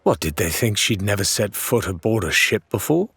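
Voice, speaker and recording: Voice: deep voice, animated, normal speed, a bit bright, husky, no echo, heavily acted. A man voicing a wary, proud woman sailor with a curt, sceptical manner in an audiobook. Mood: scornful.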